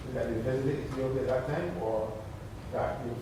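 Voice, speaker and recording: A man in the audience asking a question in a halting, deliberate way. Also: mid-range voice, fairly even intonation, background noise. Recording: echoey room, far from mic.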